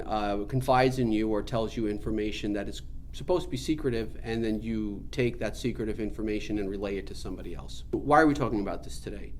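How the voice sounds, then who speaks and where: Man, mid-pitched voice, earnest and emphatic, informal spiritual lesson, explanatory delivery, clear ambient noise in the recording. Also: a little mellow. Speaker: spiritual teacher. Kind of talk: informal spiritual teaching talk.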